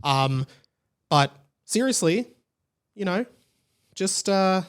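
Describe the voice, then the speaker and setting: Man, late twenties, high-pitched voice, casual podcast monologue, animated, rambling, self-deprecating. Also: slow pace, clear, resonant tone. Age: late 20s. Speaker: podcast host.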